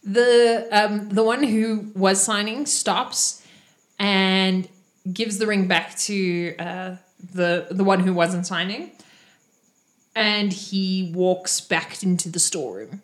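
A woman's voice: mid-range voice, steady tone, slow. Irish woman, game master, chatty punster narrating a tabletop session. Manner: chatty, punny, laughing asides.

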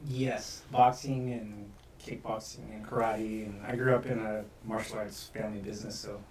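A man's voice: on the low side, level tone, normal speed, darkish tone, distant mic, a little hiss or hum. A man who coaches boxing, giving easygoing, short answers about his background. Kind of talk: casual interview answers. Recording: echoey room, off-mic.

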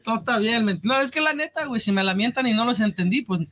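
A man's voice: very high voice, lively intonation, fast, a bit bright. A man with a Mexican accent chatting casually and slangily as a podcast co-host, dropping in lots of filler words.

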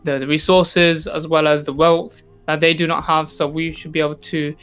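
A young man making a casual, unscripted podcast announcement as co-host, a little halting. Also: on the high side, level tone, fairly bright tone, clear, resonant tone, soft background music.

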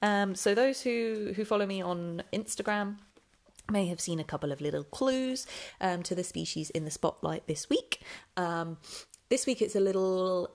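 A woman's voice: mid-range voice, moderate pace. A young woman hosting a casual solo podcast, chatty and rambling with lots of ums, sounding slightly nasal and snuffly. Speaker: podcast host.

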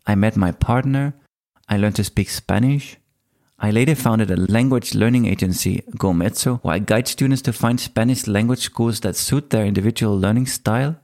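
A man in his forties with a Danish accent, hosting his own podcast and telling his life story in a calm, measured monologue. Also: fairly low pitch, creaky. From Denmark. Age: 40s. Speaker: podcast host. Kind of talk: scripted solo podcast monologue.

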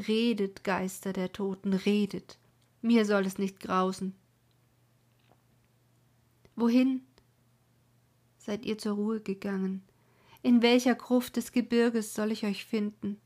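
A woman voicing a grieving young woman who laments her dead lover in a dramatic poetry reading, with swelling calls and breathy whispers. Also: mid-range voice, a little mellow.

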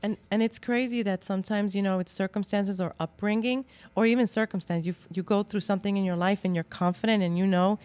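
A woman's voice: medium pitch, fairly even intonation, quick pace, hardly any pauses, darkish tone, clean tone, no echo. Woman panel guest, reflective and personal, with a dry, close sound. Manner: reflective storytelling with restarts. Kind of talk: casual group chat.

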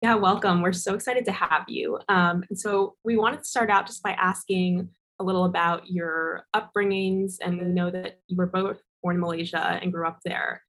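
Casting: female co-host, slightly low pitch, asks interview questions in a conversational way. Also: fairly even intonation, normal speed, few pauses, fairly bright tone, low-quality recording.

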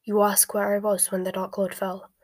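A woman's voice: medium pitch, monotone, no room sound, studio quality, lightly acted. Woman reading as a sneering cold wizard. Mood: cool, sardonic. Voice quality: breathy, hushed. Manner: slow, sneering, measured. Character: cold sardonic middle-aged male wizard.

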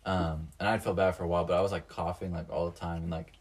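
Young male podcast co-host, husky low voice, slangy joker. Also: flat delivery, fast talker. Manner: jokey, interjecting, slangy. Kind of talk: casual chat.

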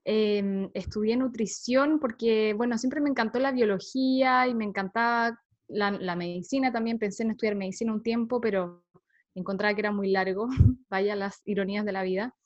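A woman's voice: mid-range voice, moderate pace, muffled recording. A woman in her thirties from Chile with a Chilean accent, a guest researcher chatting in an interview, laughing often and using many fillers. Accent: Chilean Spanish. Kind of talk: casual interview talk.

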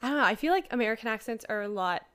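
A young woman with a Canadian accent, chatting casually with a friend. Her voice sits a touch high and swings up and down a lot. She fills her talk with "like" and breaks into giggles easily. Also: lively intonation, very fast, fairly bright tone, smooth. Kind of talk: casual chat.

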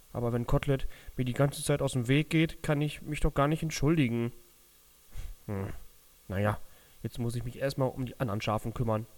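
A man's voice: mid-range voice, darkish tone, natural voice. A man playing a remorseful grown male sheep who mutters and grumbles to himself in a children's audio drama.

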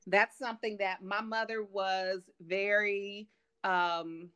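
A woman from Kansas City, a grandmother, chatting as a guest about her family travels in a relaxed storytelling way. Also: medium pitch, slow, clear, resonant tone, dull audio. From Kansas City, Missouri. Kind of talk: casual interview chat.

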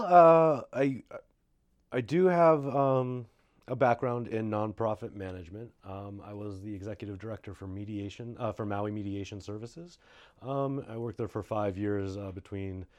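A man with a North American accent speaking as an interview guest in a casual podcast, in a hesitant, halting way with many pauses. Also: on the low side, a little mellow. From suburbs of Boston, United States. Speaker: interview guest, nonprofit development director.

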